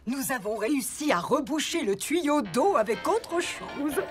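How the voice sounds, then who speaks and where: A woman's voice: sits high, lively intonation, unhurried. A woman voicing a kindly, encouraging grown-up vehicle in a children's cartoon, speaking articulately. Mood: kindly, pleased. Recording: loud music behind the voice.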